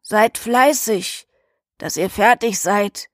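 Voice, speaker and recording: A woman playing an old woman, the ogre's wife, who warns and commands in measured phrases in a fairy-tale audio drama. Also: mid-range voice, no echo, clean studio sound. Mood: stern instructing. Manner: slow, deliberate, measured phrasing.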